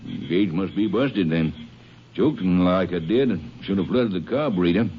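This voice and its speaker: Deep-voiced folksy Texas constable. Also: male voice, expressive, moderate pace. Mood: helpful, easygoing. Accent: American. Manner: folksy, laconic. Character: folksy, wary small-town Texas constable. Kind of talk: 1950s radio acting. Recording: dull, hissy 1950s radio recording.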